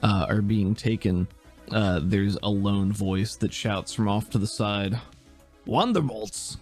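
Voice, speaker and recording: A man's voice: on the low side, level tone, measured pace, a little mellow, faint music behind. A man narrating as a laid-back, easygoing game master.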